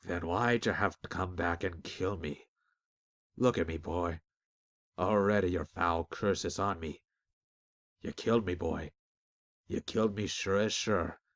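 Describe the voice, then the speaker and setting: A man voicing a dying old male shopkeeper who speaks slowly in a rough, folksy, slurred way, in an audiobook. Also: deep voice, a bit bright, pitched below the performer's own voice. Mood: bitter. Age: older.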